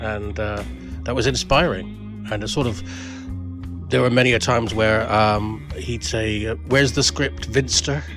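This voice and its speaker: A man's voice: on the low side, fairly even intonation, measured pace, over music. A man giving a personal spoken tribute to a friend, reminiscing in a self-deprecating way. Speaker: writer paying tribute to a friend.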